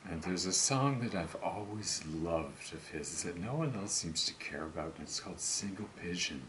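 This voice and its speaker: An older man talking slowly and self-assuredly to camera about recording cover songs, rambling and musing as he goes. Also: low-pitched, lively intonation, noisy background. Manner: slow, musing, self-assured, breaks into song. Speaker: home singer recording covers. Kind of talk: rambling solo monologue to camera.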